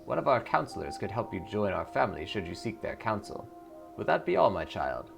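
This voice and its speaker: Man acting a solemn, low-voiced cult preacher. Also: big pitch swings, brisk, vocal fry, full character voice. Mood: fatherly, guarded. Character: smooth, pious male cult preacher, solemn and fatherly. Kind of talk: video game dialogue read aloud. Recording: music behind the voice.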